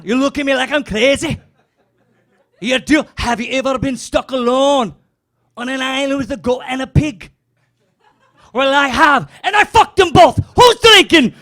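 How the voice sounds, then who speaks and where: Rowdy man shouting a put-on Scottish rally speech. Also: very high voice. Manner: boisterous, shouting rally-style speech to a crowd. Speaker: comedy performer. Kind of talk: rowdy medieval-character stand-up.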